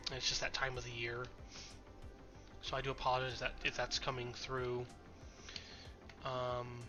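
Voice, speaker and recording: Congested, slightly nasal man streamer. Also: mid-range voice. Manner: rambling, chatty, self-correcting. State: congested from a cold. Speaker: game streamer. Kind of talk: casual gameplay commentary.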